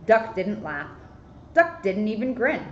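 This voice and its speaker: A woman's voice: medium pitch, big pitch swings, measured pace. A woman police officer reading a picture book aloud expressively, with chatty, casual asides. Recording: roomy echo, muffled, faint noise.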